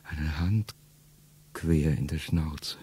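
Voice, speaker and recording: Middle-aged male narrator for a radio play, very dark, husky voice, low and intimate, often whispering. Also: drawn-out words. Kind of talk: first-person literary monologue in a radio play.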